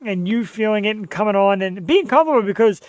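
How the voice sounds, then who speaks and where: A man's voice: high voice, lively intonation, quick pace, darkish tone, no room sound. A man hosting his own podcast, talking casually in an earnest, rambling, self-deprecating way. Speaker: podcast host. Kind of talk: casual chat.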